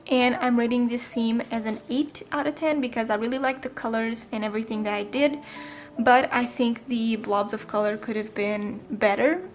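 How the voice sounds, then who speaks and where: Woman, 20, casual vlog voice-over; slightly high, chatty, hesitant ums; faint music bed. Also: fairly even intonation, normal speed. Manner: chatty, frequent ums and self-corrections. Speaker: planner vlogger. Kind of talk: casual voice-over flip-through.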